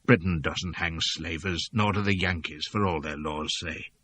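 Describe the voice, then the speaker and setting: A man voicing a cold, menacing slave-ship captain in an audiobook, speaking slowly and quietly with quiet command. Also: low-pitched, slightly rough, full character voice. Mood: scornful. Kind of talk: audiobook character voice.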